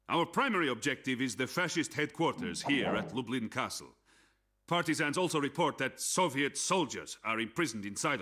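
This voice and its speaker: An actor playing a stern Soviet officer, giving a deliberate, commanding mission briefing in a rough voice for a war game. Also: male voice, medium pitch, sharp tone. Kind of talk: scripted military mission briefing.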